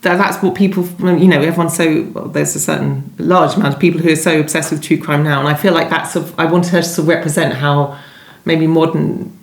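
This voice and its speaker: A woman talks conversationally as an interview guest. She is hesitant and rephrases herself as she goes. The room adds clear echo. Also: sits low, steady tone, a little fast. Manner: hesitant, self-correcting. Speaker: novelist guest. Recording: roomy, echoey sound.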